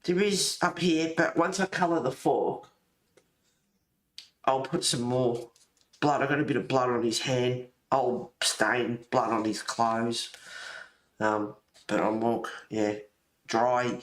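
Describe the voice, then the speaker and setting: A man's voice: mid-range voice, fairly even intonation, slow pace, roomy sound. A man chatting casually and informally while colouring, rambling and thinking aloud as he goes. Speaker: host of a colouring channel.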